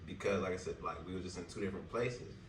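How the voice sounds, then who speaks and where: A man around thirty muses in a very deep voice, loose and full of slang and fillers. He sounds set back from the mic in an echoey room. Steady background noise runs under him. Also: fast talker, far from the mic. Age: 30s. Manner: reflective, loose slang-heavy talk with many fillers. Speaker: podcast co-host. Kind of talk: casual chat.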